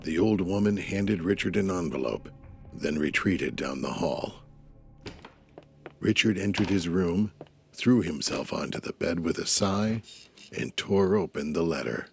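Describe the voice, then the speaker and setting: A man narrating an audio drama in a measured storytelling manner. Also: sits low, animated, gravelly.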